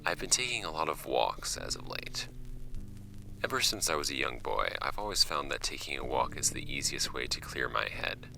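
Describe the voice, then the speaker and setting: A super deep crackly voiced amateur podcaster, wry and offhand, bright crisp edge, audio drama monologue. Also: male voice, big pitch swings, heavily acted. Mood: measured, reflective. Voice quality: very deep, gravelly. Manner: wry, offhand, halting when ad-libbing, measured when reading. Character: flippant young man recording an amateur podcast, reading his late uncle's files.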